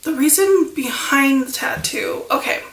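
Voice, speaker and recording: A woman in her thirties with a high, bright voice that runs gravelly at the ends of phrases, stuffy from a lingering cold. She rambles through a casual vlog story full of "like" and "you know." The room around her is echoey. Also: a little slow. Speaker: vlogger.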